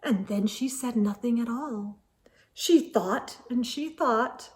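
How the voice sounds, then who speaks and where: A woman reading a rhyming picture book aloud for children in an animated, measured storytelling manner. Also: fairly high pitch. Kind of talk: expressive picture-book read-aloud in rhyme.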